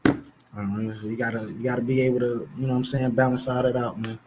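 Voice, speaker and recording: A man's voice: mid-range voice, level tone, fast talker, heavy background noise. A man co-hosting a casual livestream, joking around in slang and laughing easily. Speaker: co-host.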